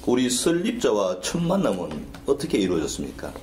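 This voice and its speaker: A man's voice: medium pitch, huge pitch swings, a little fast, a little mellow, roomy sound, slight background noise. A man interviewing for an oral history project, asking formal, polite questions and often giving short backchannel replies.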